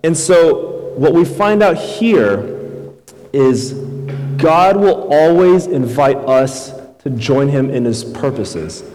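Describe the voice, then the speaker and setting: A man in his thirties from Houston, preaching a relaxed, conversational sermon as a guest youth pastor, with many fillers and questions to the crowd. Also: a little high, slow pace. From Houston, Texas. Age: 30s. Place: church sanctuary. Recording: long reverb in a large hall.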